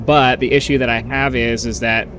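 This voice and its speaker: A man with a nasal voice hosting a casual let's-play, rambling and chatty, with a bass-boosted desktop microphone. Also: fairly even intonation, moderate pace, over music. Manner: rambling, chatty, with frequent uhs and little laughs. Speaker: gaming channel host. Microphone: desktop microphone with bass boosted by an equalizer.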